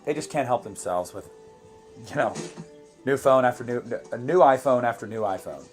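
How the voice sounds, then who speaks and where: A man in his twenties co-hosting a live movie commentary, chatting casually with viewers in a relaxed way. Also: medium pitch, normal speed, faint music behind. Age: 20s. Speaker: co-host of a movie commentary channel.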